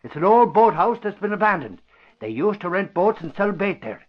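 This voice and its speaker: An actor playing an excitable, blustering newspaperman with folksy exclamations, in 1940s radio drama style. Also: high voice, normal speed. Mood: excited. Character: excitable, blustering Irish male newspaper bodyguard-reporter. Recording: muffled vintage radio broadcast.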